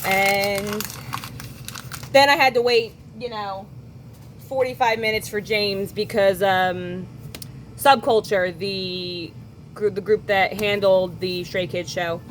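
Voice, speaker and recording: A young woman hosts a podcast in an easy, casual chat. Her voice is hoarse and worn from singing at a concert, and her pitch sits in a middle range. She keeps tossing in quick asides and corrects herself mid-thought. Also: fairly even intonation, slight background noise. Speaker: podcast host.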